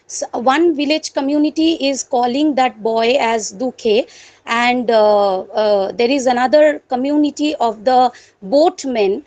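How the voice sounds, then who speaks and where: Give me a high-voiced woman taking part in a video-call class. She speaks politely and hesitantly, pausing often to find her words. The sound is dry and close. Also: darkish tone, no room sound, low-quality recording. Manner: hesitant, many uh fillers, polite. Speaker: student translator. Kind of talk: asking questions in an online class.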